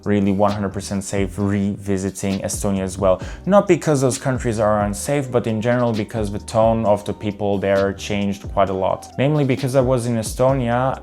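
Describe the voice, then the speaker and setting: A man's voice: fairly low pitch, a little mellow. A young man from Serbia, talking candidly and a bit ramblingly about his travels in a casual vlog. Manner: candid, rambling with restarts and fillers. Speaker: travel vlogger. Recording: faint guitar music behind.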